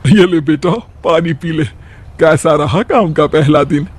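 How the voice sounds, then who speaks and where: Guy doing an older village saas in a bedtime story, high voice, fretful but loving. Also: measured pace. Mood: affectionate. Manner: fretful, affectionate. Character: worried, caring older village mother-in-law.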